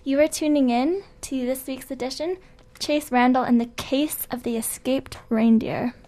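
A woman co-hosting a casual campus radio show. Her voice is fairly high, and her remarks are dry and playful. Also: moderate pace, warm tone. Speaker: radio co-host.

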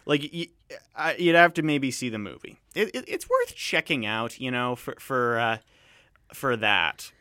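A man hosting a radio show, chatting casually about films in a rambling, digressive way with halting restarts. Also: mid-range voice, expressive, bright, forceful tone, dry recording, clean studio sound. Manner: rambling, digressive, halting with repeated false starts. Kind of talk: casual film review chat.

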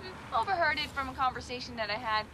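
A woman's voice: high-pitched, animated, fast, a crowd in the background, poor audio. A woman playing a chatty, squeamish, teasing and dramatic young woman in a comedy drama. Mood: gossipy. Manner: dramatic, rambling, exclamatory. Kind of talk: two-hander comedy drama dialogue.

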